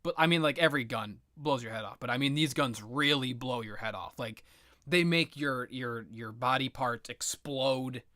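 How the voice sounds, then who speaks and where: Man, forceful bright voice, dry close sound, rambling game commentary, sarcastic, profane, repetitive. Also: medium pitch, moderate pace, no echo. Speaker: video game reviewer and host.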